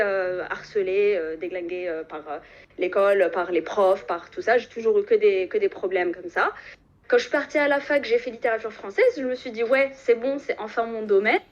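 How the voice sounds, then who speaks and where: A young woman from Algeria calls into a live stream and talks haltingly, full of fillers and restarts. Her voice sits a little high. The call comes through muffled and dull. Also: a little mellow. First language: French. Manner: hesitant, many fillers and restarts. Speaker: caller.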